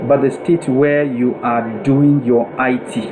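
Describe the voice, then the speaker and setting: Slow-paced Nigerian male instructor, noisy recording. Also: medium pitch. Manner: deliberate, instructional, phrase by phrase. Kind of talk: step-by-step software tutorial voice-over. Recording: noisy room with steady air-conditioning-like hum.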